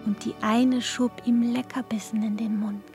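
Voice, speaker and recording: A woman's voice: fairly high pitch, level tone, moderate pace, lightly acted. A woman reciting fairy-tale passages in a radio play, in a lilting storyteller's manner. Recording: music under the voice.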